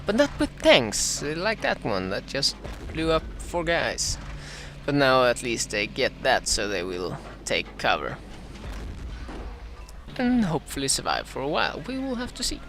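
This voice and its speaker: A Swedish-accented man with a slightly dry throat talks through his own strategy game match as a beginner commentator, at a middle pitch. He stops and restarts often, filling the gaps with "uh" while he fixes his wording. A faint hiss of noise sits under his voice. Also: huge pitch swings, fairly bright tone. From Sweden. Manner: halting, frequent "uh" fillers and self-corrections. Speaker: gameplay commentator.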